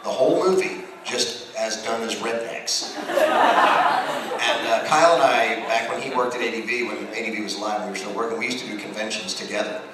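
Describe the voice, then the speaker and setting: A man's voice: fairly low pitch, a little fast. An American man speaking as a voice actor on a convention panel, telling anecdotes informally and acting out bits of dialogue. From United States. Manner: animated storyteller, acts out dialogue. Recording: very echoey hall, distant mic, noisy.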